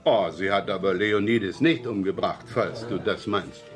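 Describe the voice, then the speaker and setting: An actor playing a suave, amused celebrity poet in a radio play, breezy and pleased with himself. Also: male voice, fairly low pitch, moderate pace, soft background music, natural voice. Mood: smug. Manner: breezy, self-satisfied, chuckling. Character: suave, amused male poet, famed as murderer. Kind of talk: 1980s German radio play acting.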